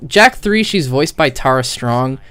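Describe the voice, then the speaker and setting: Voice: mid-range voice, expressive, a little slow, a bit bright, no echo. A streamer giving casual, rambling game commentary in a blunt, profane way, full of "like", into a microphone with the gain turned up. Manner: rambling, heavy use of "like". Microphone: streaming microphone with high gain. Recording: close, dry, hot mic gain.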